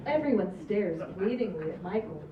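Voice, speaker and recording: Female stage-direction reader for a table read, dull distant sound with clear background noise. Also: medium pitch, expressive, moderate pace. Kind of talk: scripted narration at a table read. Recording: echoey, dull, noisy, distant room recording.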